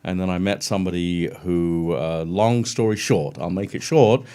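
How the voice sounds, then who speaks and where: A middle-aged man with a London English accent, a guest chatting casually and warmly about his career in an interview. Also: sits low, moderate pace, clean tone. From England. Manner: friendly, chatty, brief laughs.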